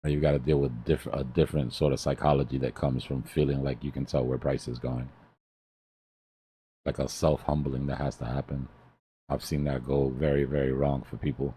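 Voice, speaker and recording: A man's voice: extremely low pitch, little pitch movement, moderate pace, mellow, slightly rough. A man hosting a live trading stream, talking casually like a mentor in a blunt, emphatic way and repeating himself for stress.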